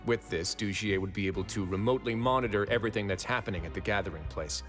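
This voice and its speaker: A man playing a composed, shrewd duke of a prison fortress in an anime-style video game, speaking in a measured, deliberate way. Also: on the low side, a bit bright, natural voice. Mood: calm, analytical. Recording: music playing behind the voice.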